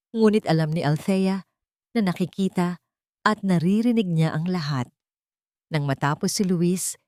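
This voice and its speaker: A woman with a Filipino accent narrates a romantic audio drama in a measured, emotive storytelling manner. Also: lowish voice, darkish tone, no echo, studio quality.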